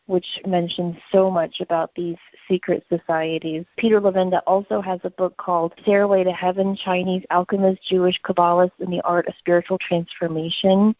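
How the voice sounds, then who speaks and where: A woman's voice: lowish voice, no echo, muffled recording. A woman co-hosting a conversational podcast, speaking in an earnest, opinionated way. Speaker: podcast co-host.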